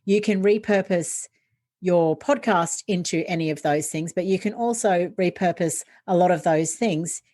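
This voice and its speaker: A woman guest on a podcast interview, calmly explaining ideas and listing options in a steady, informative way. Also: lowish voice, normal speed. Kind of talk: casual interview, explaining tips.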